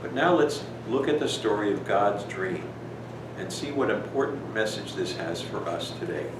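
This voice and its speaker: A man speaking as a priest reading a bedtime story to young children, with gentle, deliberate phrasing. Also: lowish voice, lively intonation. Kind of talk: slow storybook reading with simple explanations for children. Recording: roomy, distant microphone, clear background noise.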